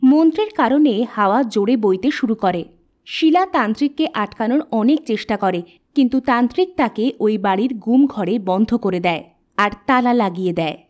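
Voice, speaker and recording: A woman narrating a spooky folk tale in a steady, scripted storytelling manner. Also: on the high side, normal speed, highs cut off.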